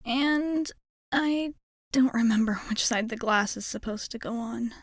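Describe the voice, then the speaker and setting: A woman's voice: on the high side, a little slow, no echo. A woman playing a shy, nervously hopeful young alien space traveler in an ASMR roleplay, rambling hesitantly and putting herself down. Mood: anxious, musing. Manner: hesitant, rambling, self-effacing. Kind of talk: ASMR roleplay, intimate character monologue.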